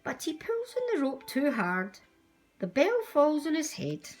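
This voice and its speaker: A woman reading a children's storybook aloud as a storyteller, with expressive, deliberate delivery. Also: high voice, soft background music. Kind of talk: scripted storybook narration for young children.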